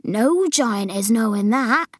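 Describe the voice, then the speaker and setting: A woman's voice: a little high, expressive, takes it slowly, no echo, studio quality. A woman voicing a very old, forlorn but friendly male giant in a storybook, drawing out mangled words with great emphasis. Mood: musing.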